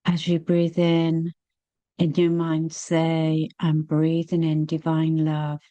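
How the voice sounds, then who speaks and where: A woman leading a guided meditation, speaking gently and soothingly with long, unhurried pauses. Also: sits low, completely flat delivery, no room sound, dull audio.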